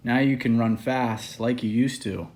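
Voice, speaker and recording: A man with a medium-pitched voice reading a children's picture book aloud. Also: steady tone, measured pace, a little mellow, slight background noise. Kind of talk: read-aloud children's story.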